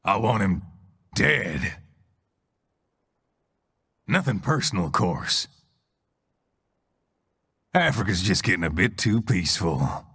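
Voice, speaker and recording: Gravelly male game villain, ruthless warmonger, slow mocking menace, low-pitched, long reverb. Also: very animated, heavily acted. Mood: smug menace. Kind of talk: dramatic video game cutscene acting.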